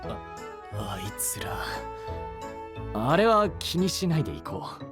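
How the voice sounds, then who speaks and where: A man playing a brusque, easily flustered but earnest young knight in an anime voice drama, with blunt rough casual speech. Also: medium pitch, very animated, slow pace, music in the background. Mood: resigned.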